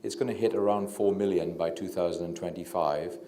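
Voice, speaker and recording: A middle-aged Welsh man, a physician giving a public talk into a handheld mic, hesitant with many fillers and restarts, and a self-described low talker. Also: fairly even intonation, a little fast, mellow, a little hiss or hum. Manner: low-talking. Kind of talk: public lecture. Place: lecture room with audience. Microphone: handheld microphone. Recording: echoey lecture room.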